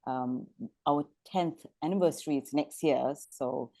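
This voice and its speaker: A woman's voice: deep voice, unhurried, mellow, no echo. A middle-aged woman from Malaysia, a panelist introducing herself in an informal professional way.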